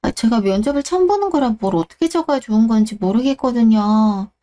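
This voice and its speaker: Woman playing a nervous young applicant, higher voice, timid and polite, radio drama. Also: animated, a little fast, warm tone, close to an everyday voice. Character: young nervous first-time job applicant.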